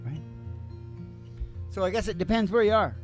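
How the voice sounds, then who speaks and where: High-pitched folksy man, rambling over guitar. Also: normal speed. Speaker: radio show host and singing DJ. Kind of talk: folksy comedic storytelling monologue over music.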